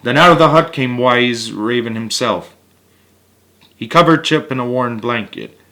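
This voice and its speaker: A man's voice: medium pitch, fairly bright tone. A man reading a children's picture book aloud, breaking off for casual chatty asides and sometimes stumbling and restarting words. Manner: chatty asides and small chuckles between passages.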